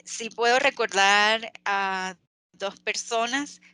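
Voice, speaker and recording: A Venezuelan woman speaking earnestly as an interview guest, pausing and restarting often. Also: mid-range voice, pushed and bright, smooth, dry recording, poor audio. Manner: earnest, halting with many restarts and fillers. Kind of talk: interview over a call.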